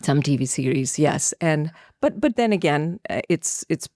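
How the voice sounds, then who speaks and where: A woman's voice: sits low, expressive, moderate pace, a little mellow, dry recording, studio quality. A middle-aged woman from India talking as an interview guest, telling personal stories conversationally and acting out bits of remembered dialogue. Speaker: podcast guest, actor.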